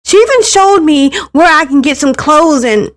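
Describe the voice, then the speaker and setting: An insecure eighteen-year-old girl played by a woman tells her story in a visual novel. Her voice sits fairly high, earnest and hesitant, with a defensive, easily hurt edge and the odd stammer. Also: expressive, moderate pace. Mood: upset, pleading. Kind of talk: first-person visual novel reading.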